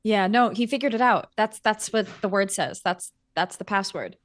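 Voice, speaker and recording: A woman's voice: mid-range voice, normal speed. A woman taking part in a tabletop roleplaying game, chatting casually as a player.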